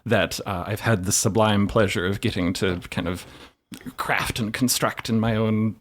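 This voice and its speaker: A man's voice: fairly low pitch, fairly even intonation, moderate pace. A man chatting as a podcast guest, with a wordy, playfully florid way of phrasing things. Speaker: podcast writer and performer, guest. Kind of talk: casual chat.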